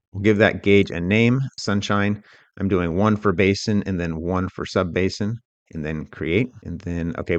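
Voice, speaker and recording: A man giving an informal, matter-of-fact software tutorial, explaining step by step as an instructor. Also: sits low, no room sound, lo-fi sound.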